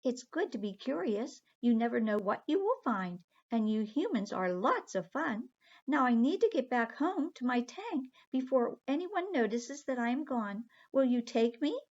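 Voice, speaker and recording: A woman reading aloud and putting on a tiny mermaid girl with a high, sweet storybook voice, polite and earnest. The pitch leaps around a lot. The recording is dull and lo-fi. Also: big pitch swings, normal speed. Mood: cheerful.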